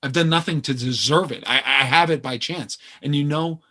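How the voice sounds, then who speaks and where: A man's voice: fairly high pitch, normal speed, bright, forceful tone. A man acting as an earnest, self-deprecating aspiring novelist in a stage play, hesitant and apologetic. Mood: earnest, embarrassed. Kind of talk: naturalistic stage play dialogue.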